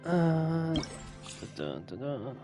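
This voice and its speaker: A man's voice: a little high, big pitch swings, drawn-out words, muffled recording. A man giving casual gameplay commentary, musing offhandedly to himself as he plays. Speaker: gaming streamer. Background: game music.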